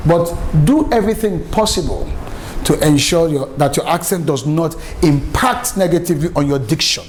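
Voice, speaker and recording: A man's voice: fairly high pitch, normal speed, slight background noise, low-quality recording. A middle-aged Nigerian man from Lagos, giving an emphatic, story-filled lesson on public speaking. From Nigeria, raised in Lagos. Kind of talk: lively spoken lecture with anecdotes.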